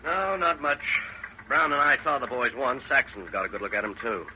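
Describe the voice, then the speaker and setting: A man's voice: mid-range voice, normal speed, natural voice. A man playing a practical, plain-spoken police detective in an old radio crime drama, laconic and folksy. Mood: wry, watchful. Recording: muffled, noisy old radio recording.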